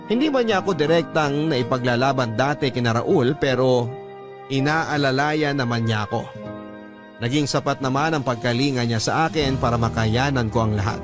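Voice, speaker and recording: A Filipino man reading a scripted first-person story as a radio drama narrator. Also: mid-range voice. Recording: music bed under narration. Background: instrumental music.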